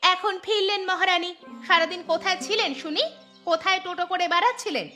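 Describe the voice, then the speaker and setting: A woman's voice: extremely high pitch, steady tone, fast, soft background music. A woman voicing a shrewish, nagging cartoon daughter-in-law with a sarcastic, scolding manner. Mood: sharp, sarcastic. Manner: nagging, sharp-tongued, sarcastic. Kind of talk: Bengali cartoon voice acting.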